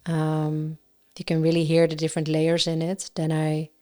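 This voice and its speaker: A woman with a Dutch accent, a singer-songwriter and guest on a podcast, chatting casually and often pausing to search for words. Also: sits low, monotone, darkish tone. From Netherlands. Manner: searching for words, many fillers and restarts.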